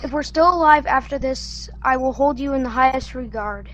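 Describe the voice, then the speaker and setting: A woman's voice: sits high, moderate pace, close to an everyday voice. A young woman playing a brainy, bossy, urgent teenage boy navigator in a sci-fi radio play. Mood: commanding. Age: young adult.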